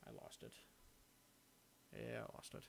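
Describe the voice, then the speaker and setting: A man giving a casual, unscripted screen-recording walkthrough of his own software, halting as he thinks aloud. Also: slight background noise. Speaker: software hobbyist demonstrating own add-on. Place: indoors, at a computer recording the screen.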